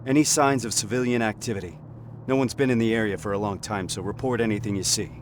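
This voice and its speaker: A man's voice: lowish voice, steady tone, fast, slight background noise, lightly acted. A man voicing a terse, authoritative resistance sergeant in a video game. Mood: commanding. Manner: authoritative, clipped. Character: commanding male resistance sergeant, steady and terse.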